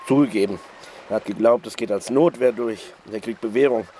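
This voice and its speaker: A cynical lawyer and secret accomplice in a radio crime play, played by a man. He speaks naturally and persuasively in a fairly low voice, over faint ambience. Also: brisk, dark tone, a little hiss or hum, close to an everyday voice. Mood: relaxed, gloating. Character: smooth-talking male lawyer, secret lover and accomplice, cynical. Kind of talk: natural German radio drama acting.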